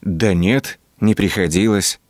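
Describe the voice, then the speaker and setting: A man voicing a meek, quiet young peasant looking for work in a folk tale, plain and mild. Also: deep voice, slow, dry recording, studio quality.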